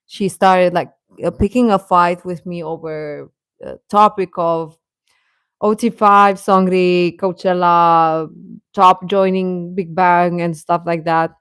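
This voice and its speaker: A woman chatting casually as host of a live stream, rambling and halting, with a sarcastic streak. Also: on the low side, fairly even intonation, fairly bright tone, clean tone, dry recording. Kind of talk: casual live-stream chat about pop fandom.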